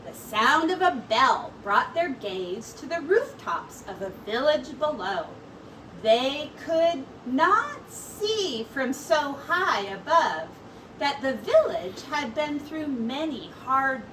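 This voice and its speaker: Female storyteller, high voice, unhurried and expressive children's read-aloud, recorded at a distance from the mic. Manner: expressive, deliberate storytelling with brief asides to listeners. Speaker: teacher. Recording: distant mic with faint background noise.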